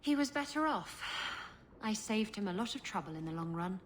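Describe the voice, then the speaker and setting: An actress playing a wry, guarded, worldly adventuress in a video game, with a sardonic way of talking. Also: female voice, medium pitch, animated, noisy background, lightly acted. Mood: wry, amused. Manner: sardonic, unhurried, evasive. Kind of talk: dramatic video game acting.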